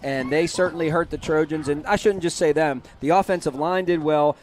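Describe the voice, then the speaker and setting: A man calling a high school football broadcast from the press box, chatty and energetic, and losing his voice. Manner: chatty, energetic, reads scores quickly. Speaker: play-by-play broadcaster. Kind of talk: postgame sports commentary.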